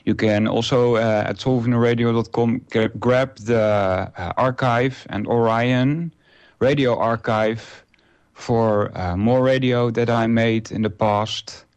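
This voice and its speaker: A man's voice: mid-range voice, fairly even intonation, slow pace, darkish tone, smooth, dull audio. A man in his forties, a native Dutch speaker, hosting a solo internet radio show and talking it through unscripted, with many filler uhs and restarts. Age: 40s.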